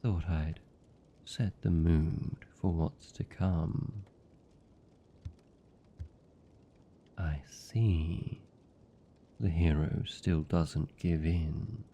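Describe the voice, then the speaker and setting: A man with a British accent playing a seductive, possessive vampire captor in an intimate ASMR roleplay, hushed and deliberate, tender yet menacing. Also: steady tone, slight background noise, heavily acted. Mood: calm, sly. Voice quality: gravelly, dark, low. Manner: slow, hushed, deliberate, teasing.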